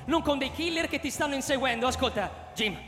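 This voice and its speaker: An actor playing an excitable, slick TV game show announcer of a deadly manhunt in a radio drama, with sensational showman patter. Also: very high-pitched, a little fast, echoey room, big-room sound, faint music behind. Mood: excited alarm.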